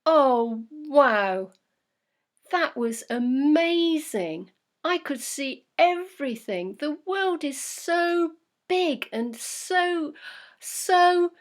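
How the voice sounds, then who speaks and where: This is a bustling, hardworking beaver mom in a bedtime storybook, voiced by a woman narrator. She speaks higher and louder than the narration, flustered and out of breath. A gravelly texture clings to her words. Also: measured pace. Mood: thrilled. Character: eager hardworking female beaver, excitable.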